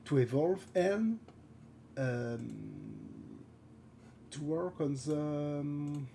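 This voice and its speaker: A gravelly-voiced man from France speaks English with a French accent, slowly and haltingly, reaching for words between fillers. He chats with viewers while he paints during a live stream. A faint hum of room noise sits under his voice. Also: fairly high pitch, expressive. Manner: thinking aloud while painting. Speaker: concept art streamer. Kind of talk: live art stream chat.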